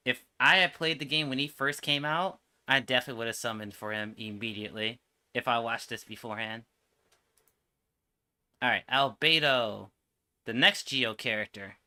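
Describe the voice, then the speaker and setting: A man's voice: medium pitch, normal speed, bright, forceful tone, smooth. A man giving casual, opinionated reaction commentary as a streamer, with slangy, sweary speech. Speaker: streamer reacting to game trailers.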